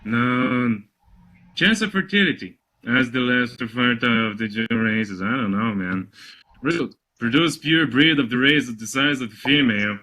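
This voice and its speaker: A man reading aloud mockingly in casual banter, halting often with exasperated, incredulous asides. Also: medium pitch, bright, forceful tone, low-quality recording. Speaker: reader on a comedy reading show.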